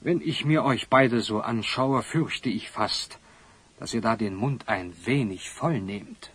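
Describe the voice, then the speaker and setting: A man playing a pompous, florid older wealthy magnate with a husky voice in a children's audio drama. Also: medium pitch, slow pace, fairly bright tone. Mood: doubtful.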